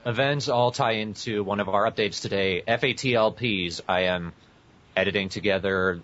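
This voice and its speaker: A man's voice: fairly low pitch, moderate pace, a bit bright. A man co-hosting a podcast, talking casually with friends. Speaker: podcast co-host. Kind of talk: casual group chat. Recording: muffled lo-fi audio.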